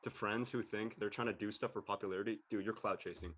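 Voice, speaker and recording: A man with a somewhat low voice talks informally in a group discussion. He speaks as a panelist, in everyday casual language. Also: fairly even intonation, fast, a bit bright. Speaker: panelist in a post-show discussion. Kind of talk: casual chat.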